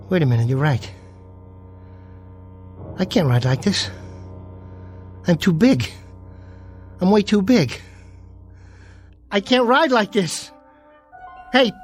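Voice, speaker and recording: Panicky jockey begging over and over, really high voice, slow drawn-out pleas, radio drama. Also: male voice. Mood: alarmed. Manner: pleading, repetitive, increasingly hysterical. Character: desperate jockey turned giant, frantic and begging.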